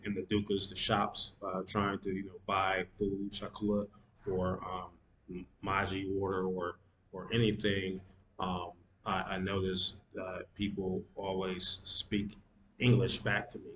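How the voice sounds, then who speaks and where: A man talking casually to camera in an unhurried way, often pausing with fillers and restarts and dropping Swahili words into his English. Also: low-pitched, fairly bright tone, a little hiss or hum. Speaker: vlogger. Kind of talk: casual spoken vlog. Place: Tanzanian city.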